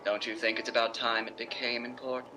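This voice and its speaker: A man playing an imperious, bitter, witty middle-aged stage actress in a theatrical 1950s radio drama style, with sardonic, drawn-out emphasis. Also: mid-range voice, flat delivery, sharp tone, faint music behind, natural voice, poor audio.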